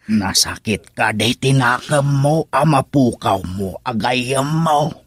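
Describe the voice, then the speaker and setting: A man's voice: medium pitch, expressive, slow, husky, no echo, clean studio sound. An actor playing an older father who gives sympathetic, consoling counsel in a radio drama. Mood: concerned, consoling.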